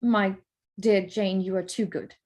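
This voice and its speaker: A woman playing a wry, frank young gentlewoman in a staged reading of a period drama, speaking articulately at a medium pitch. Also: steady tone, unhurried, close to an everyday voice.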